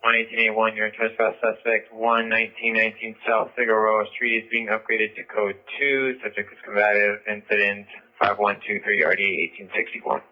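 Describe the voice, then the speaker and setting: A man's voice: on the low side, completely flat delivery, a little fast, hardly any pauses, lo-fi sound. A man dispatching police units over a scanner radio, reading out call details.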